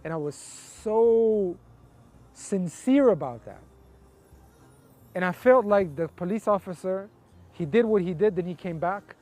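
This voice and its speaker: A man's voice: high-pitched, animated, a little slow, pauses often, mellow. A middle-aged man from Colombia who grew up in Texas, giving a personal testimony as an interview guest. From Colombia, raised in Houston, Texas from age eight. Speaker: interview guest telling his life story.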